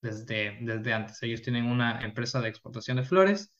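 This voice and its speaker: A young man answers interview questions over a remote link. He speaks in a relaxed way, with frequent pauses and fillers. The line sounds dull and muffled. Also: mid-range voice. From Ecuador, Quito. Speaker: interview guest. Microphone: remote call.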